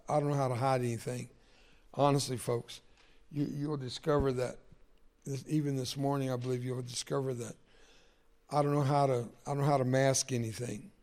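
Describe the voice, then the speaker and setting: An older man whose first language was Spanish, preaching a sermon in a folksy, self-deprecating way and swinging from jokes to quiet, personal moments. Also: mid-range voice.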